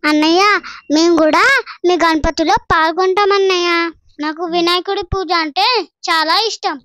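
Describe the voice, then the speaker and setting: A woman's voice: extremely high pitch, steady tone, normal speed, a bit bright, no echo, studio quality. A woman playing a poor, eager and innocent village child with a plaintive manner in a dramatised moral story.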